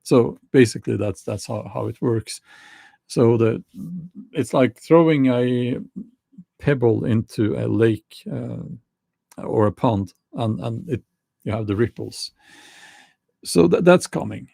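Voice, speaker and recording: A middle-aged man with a Norwegian accent talking casually to camera, slow and halting with many restarts. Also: medium pitch, gravelly, dark tone, no room sound. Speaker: YouTuber.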